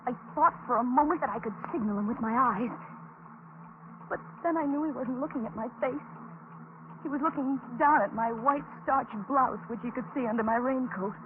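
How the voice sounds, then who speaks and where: An actress playing a frightened young waitress held hostage, with anxious, halting delivery in 1940s radio suspense style. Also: female voice, high voice, natural voice. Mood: tense narration. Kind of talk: 1940s radio suspense acting, dramatic narration. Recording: tinny, noisy 1940s radio recording.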